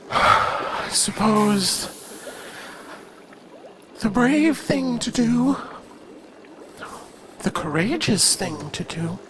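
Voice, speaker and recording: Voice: mid-range voice, very animated, drawn-out words, long pauses, background noise. An actor playing a self-important, whiny ruler in an audio drama, speaking in a plaintive, melodramatic and hesitant way. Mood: self-pitying, insistent.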